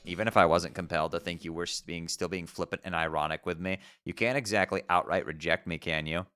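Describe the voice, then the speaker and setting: A man voicing a mopey, self-pitying teenage troll boy who talks low and sulky. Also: steady tone, quick pace, bright, forceful tone, clean tone, no echo, studio quality, faint music behind.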